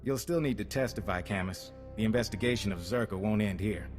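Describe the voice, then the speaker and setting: A man's voice: on the low side, brisk, soft background music, natural voice. Wry, friendly male agent actor, reverberant. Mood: friendly, relieved. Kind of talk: video game voice acting.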